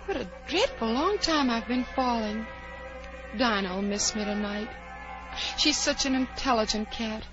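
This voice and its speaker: Curious, dreamy young girl, high and very lively, chattering to herself, vintage radio drama. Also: a little slow, music in the background. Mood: wondering, chatty. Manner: wondering aloud to herself. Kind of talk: 1940s radio acting. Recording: muffled old 1940s radio recording.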